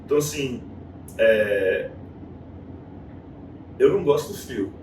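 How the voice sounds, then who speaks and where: A Brazilian man in his thirties tells his story as an interview guest, acting out voices in quotes. He sits back from the mic in a reverberant room. A steady noise runs underneath. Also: mid-range voice, takes it slowly. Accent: Brazilian Portuguese. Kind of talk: casual interview chat. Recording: echoey room, distant mic, noisy.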